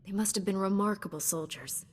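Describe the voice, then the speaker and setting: An actress playing a composed, kindly female space knight in a video game, measured and gently dry in manner. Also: mid-range voice, fairly even intonation, long reverb tail, lightly acted. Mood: tactful, measured. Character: composed, kindly female Jedi, dryly tactful leader. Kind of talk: scripted video game acting.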